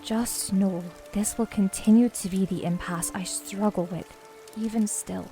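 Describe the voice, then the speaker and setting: Woman acting grave, soft-toned fantasy druid. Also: mid-range voice, fairly even intonation, soft background music, lightly acted. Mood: conflicted. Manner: grave, measured, formal. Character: solemn, wary female druid of a fantasy band. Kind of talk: fantasy audio drama acting.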